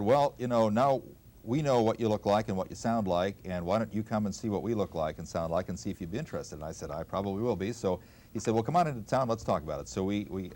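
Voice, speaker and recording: Older man reminiscing in a low voice. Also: darkish tone. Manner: halting, anecdotal, quotes past conversations. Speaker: radio veteran, former program director. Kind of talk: unscripted reminiscing, storytelling.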